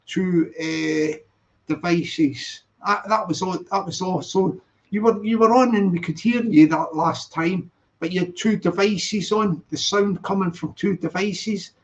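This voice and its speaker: A man's voice: sits high, measured pace. A man talking casually on a live stream, rambling and repeating himself as he answers viewers' comments. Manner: rambling, repetitive, reads viewer comments aloud. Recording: dull lo-fi stream audio.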